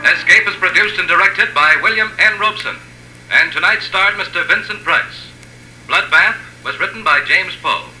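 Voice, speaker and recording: A man's voice: sits high, moderate pace, pushed and bright, faint music behind. An American man announcing on 1950s radio in a polished, emphatic scripted style. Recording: old 1950s radio, echoey, hissy hum.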